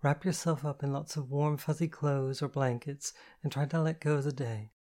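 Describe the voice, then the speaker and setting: A narrator voicing a sleepy, kind woman who reassures and gently takes command in an audiobook story. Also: medium pitch, steady tone, moderate pace, lightly acted. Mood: reassuring, commanding. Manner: drowsy, reassuring, evenly commanding.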